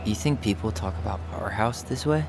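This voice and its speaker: A man's voice: fairly low pitch, brisk, creaky, background noise. A man playing a guarded, stubborn young brother in understated, casual video game dialogue. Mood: dry. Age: young adult. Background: game music.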